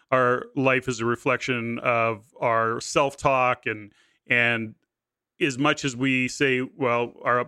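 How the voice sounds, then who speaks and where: A man's voice: mid-range voice, bright, forceful tone, clear, resonant tone, dry recording, studio quality. A man hosting a podcast interview, speaking in an enthusiastic, affirming way. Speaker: podcast host. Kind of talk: conversational interview.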